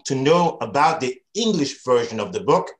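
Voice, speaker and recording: A man hosting an interview, a former professor from Haiti, telling a story in animated bursts, some quick and some slow. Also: medium pitch. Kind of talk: conversational interview.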